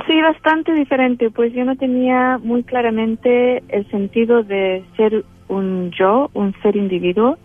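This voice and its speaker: A woman's voice: on the high side, slow, soft background music. A Chilean woman with a Chilean Spanish accent, a guest in a spiritual interview, who talks hesitantly with many fillers and restarts. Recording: tinny phone-line sound.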